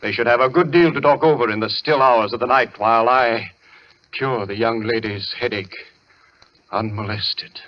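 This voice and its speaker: An actor playing a deranged asylum inmate who poses as a surgeon, imperious and menacing, with grandiose formal diction in an old radio thriller. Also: male voice, mid-range voice, normal speed, close to an everyday voice. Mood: smug menace. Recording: muffled old radio broadcast, music behind.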